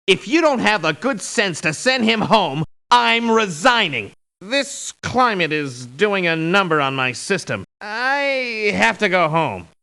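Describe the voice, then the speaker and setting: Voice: mid-range voice, big pitch swings, slow, creaky, bright, forceful tone, exaggerated voice. An actor voicing a principled, picky mercenary doctor in a video game, speaking formally and precisely with dry disdain. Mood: indignant. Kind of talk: video game character voice lines.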